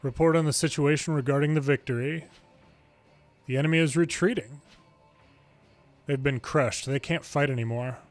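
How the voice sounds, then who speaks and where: A man's voice: on the high side, normal speed, long pauses. A man reading translated video game dialogue aloud, with game music behind him.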